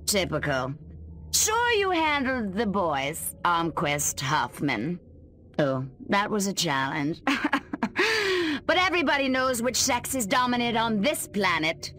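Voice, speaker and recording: A woman's voice: mid-range voice, sing-song, slow pace, a bit bright, slight background noise. A woman playing a scornful, patriotic government agent villain in a video game, theatrical, taunting and sarcastic.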